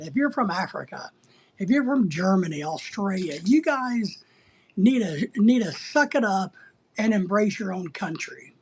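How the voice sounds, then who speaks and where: Husky-voiced American livestreamer, blunt and teasing. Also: mid-range voice. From United States. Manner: blunt, teasing, sometimes breaking into playful exclamations. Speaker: livestream host. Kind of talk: casual rambling live chat, answering viewer comments.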